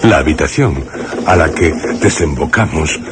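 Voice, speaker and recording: A man's voice: rumbling low, lively intonation, measured pace, heavy background noise. An actor playing a horrified man who narrates his own terror in a grave, theatrical radio drama style. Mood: grave, uneasy. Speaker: first-person narrator.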